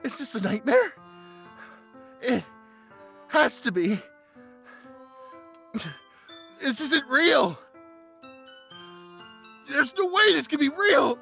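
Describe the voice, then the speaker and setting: Man acting an emotional, stammering teenage schoolboy, slow-paced. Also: extremely high pitch, lively intonation, gravelly, bright, forceful tone, soft background music, exaggerated voice. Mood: horrified, panicked. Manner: halting, stammering, emotionally raw. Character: anguished teenage schoolboy narrator of a visual novel. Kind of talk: dramatic first-person visual novel fan dub.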